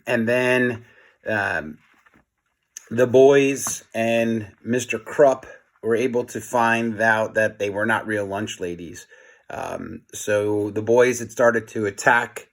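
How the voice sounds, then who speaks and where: Slow-paced man reading a children's story. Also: mid-range voice. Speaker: reader, teacher reading aloud to children. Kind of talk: children's story read-aloud with casual recap.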